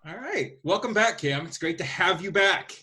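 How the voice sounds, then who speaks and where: A man hosting a podcast in casual chat, talking in a halting, thinking-aloud way. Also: sits high, lively intonation, sharp tone. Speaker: podcast host.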